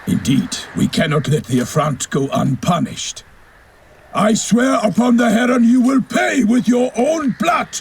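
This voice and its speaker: A man's voice: very high voice, expressive, unhurried, husky, exaggerated voice. A man playing a gallant, eager knight-errant in a fantasy game, courtly and formal in his speech. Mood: outraged. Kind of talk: fantasy video game acting.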